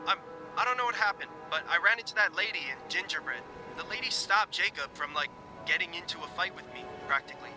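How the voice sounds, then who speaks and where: A man's voice: high-pitched, lively intonation, fast, music in the background, poor audio. An actor playing a flustered teenage boy in a video game, rambling over a walkie-talkie. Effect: walkie-talkie radio. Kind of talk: naturalistic video game acting.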